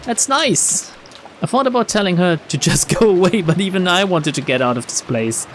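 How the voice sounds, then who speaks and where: A man with a slightly high voice narrates a visual novel. He reads the text aloud and drops in sarcastic, sweary remarks, with faint rainy game ambience behind him. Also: expressive, moderate pace, a bit bright, a little hiss or hum. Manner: wry, sarcastic asides, swears. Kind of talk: reading game text with casual commentary.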